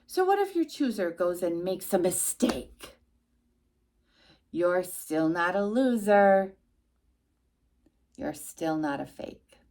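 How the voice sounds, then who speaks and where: A woman reading a rhyming picture book aloud to a young child as a storytime host, expressive and emphatic, with little asides to the listener. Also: on the low side, takes it slowly, long pauses.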